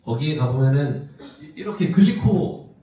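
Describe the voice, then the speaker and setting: Dark-voiced man presenting in an echoey hall. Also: a little high, lively intonation, normal speed. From South Korea. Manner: conversational, asks the audience rhetorical questions. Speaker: company executive presenting. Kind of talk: business seminar presentation. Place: large indoor event room with audience. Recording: muffled, echoey hall, distant from mic.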